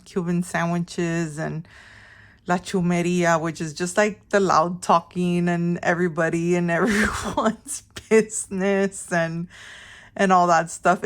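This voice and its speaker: A middle-aged woman from Miami chatting casually to her viewers in a rambling, informal way, often interrupting herself. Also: on the low side. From Miami, Florida, United States. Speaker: vlog host. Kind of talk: casual chatty personal storytelling.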